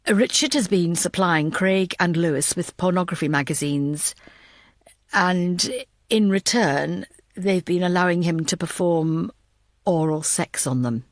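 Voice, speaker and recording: Lady doing a male detective, lowish voice, uneasy and blunt, audiobook crime story, dry sound. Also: measured pace, no echo, lightly acted. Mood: grave, uneasy. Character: uneasy low-spoken male investigator reporting grim news.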